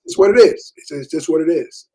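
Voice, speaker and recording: A man's voice: a little high, lively intonation, brisk, a crowd in the background, low-quality recording. A man in his thirties in casual chat about parenting, laid-back and repetitive, with many fillers.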